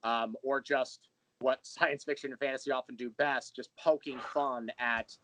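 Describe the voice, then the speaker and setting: A man's voice: medium pitch, steady tone, unhurried, a bit bright, slight background noise. A man speaking as the guest in a casual interview, explaining his creative work in a thoughtful, rambling storyteller's way. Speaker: interview guest, audio drama creator and writer.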